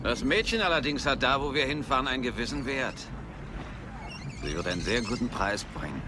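An actor playing a bold, bluffing pirate captain in a film dub, emphatic in delivery. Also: male voice, mid-range voice, animated, a little fast, natural voice. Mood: defiant, sly. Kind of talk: dubbed film acting. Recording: noisy outdoor film soundtrack.

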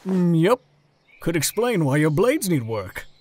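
A man's voice: on the high side, sing-song, unhurried, a little mellow. A man voicing an easygoing, reassuring goat monster father in a comic dub, casual and encouraging. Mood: wry.

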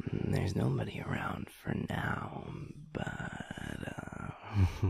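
A man playing a playful, cocky, doting werewolf lover in an intimate audio roleplay, with a breathy, gravelly whisper and a teasing, murmured delivery. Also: deep voice, darkish tone, full character voice. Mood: flirty, coaxing. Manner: teasing, chuckling, slow and murmured.

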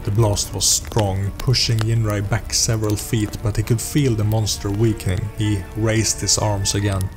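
Low-voiced man narrating over music. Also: flat delivery, normal speed, hardly any pauses. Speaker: narrator and host of a role-playing story series. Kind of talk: audiobook-style scripted narration.

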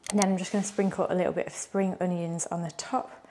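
Chatty, bubbly woman in her twenties, lowish voice, noisy kitchen; cooking vlog host. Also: flat delivery, fast, warm tone. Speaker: fitness and cooking creator. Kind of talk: casual cooking demonstration. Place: bright home kitchen indoors.

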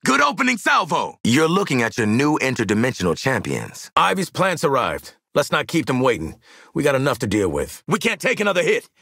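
A gruff American marksman barking video game combat lines. His voice is gravelly and husky, and it moves a lot in pitch. He comes across as a blunt, sardonic veteran, recorded close and dry. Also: huge pitch swings, a little fast, bright, forceful tone, no room sound, heavily acted. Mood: low, wry. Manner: blunt, street-tough, sardonic. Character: cocky, gruff marksman mercenary, weary team veteran.

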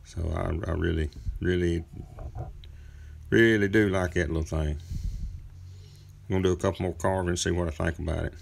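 A man in his thirties with a deep, heavily gravelly voice talks through his carving work outdoors. He keeps a flat, even tone and rambles in a relaxed, folksy way, full of small fillers. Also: normal speed, long pauses, slight background noise. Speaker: chainsaw carver. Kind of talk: casual workshop narration. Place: outdoor driveway workspace.

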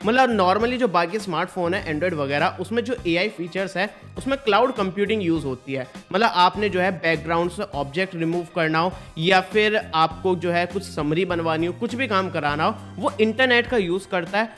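A man's voice: sits high, moderate pace, hardly any pauses, fairly bright tone, faint music behind. A man, giving a casual, conversational tech explainer to viewers as a video host, emphasizing points by repeating them. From India. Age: 20s. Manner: conversational, repetitive emphasis, addresses viewers informally. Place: small indoor studio. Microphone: desk microphone close in front.

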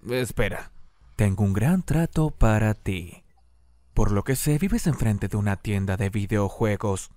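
A man with a Latin American accent voicing a sly, sardonic, short-tempered schoolboy schemer in a cartoon dub, with a dry deadpan manner. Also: on the low side, unhurried, no room sound. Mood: sly, persuasive. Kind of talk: animated fan dub acting.

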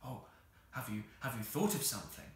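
A man's voice: medium pitch, moderate pace, heavy reverb, lightly acted. A man voicing a blunt, doubtful young girl from a children's storybook, grumbling and questioning. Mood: eager. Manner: flat grumbling and eager questioning.

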